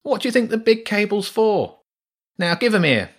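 A man's voice: high voice, very animated, normal speed, a bit bright, voice pushed up, full character voice. Guy putting on a pushy rat in overalls, greedy, cocky, voice pushed way up. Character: pushy cockney male rat workman, greedy.

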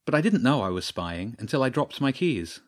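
A young boy adventurer read by a man in a children's story. He sounds earnest, sheepish and hesitant, with animated pitch. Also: brisk, close to an everyday voice.

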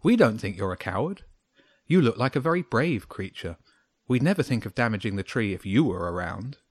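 A man's voice: lowish voice, sing-song, a little fast, no echo, studio quality. A man voicing a kindly, earnest young girl in a children's audiobook. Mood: kind, placating. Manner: earnest, placating. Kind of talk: children's audiobook character acting.

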